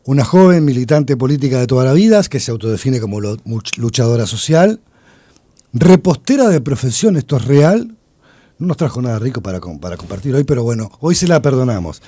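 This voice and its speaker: A man's voice: medium pitch, very animated, brisk, mellow, no echo. A man with a Uruguayan accent, a radio journalist chatting in a playful, conversational way during a studio interview. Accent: Uruguayan Spanish. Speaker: radio journalist, weekly panelist.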